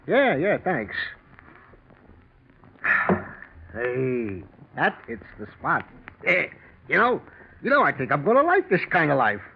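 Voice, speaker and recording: A man playing an easygoing, gullible hobo in a 1940s radio mystery, with rough, slangy, ungrammatical talk. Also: fairly high pitch, wildly swooping pitch, takes it slowly, pauses often, heavily acted. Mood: relaxed, eager. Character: easygoing, gullible male hobo hired for a job. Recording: scratchy, narrow-band 1940s radio recording.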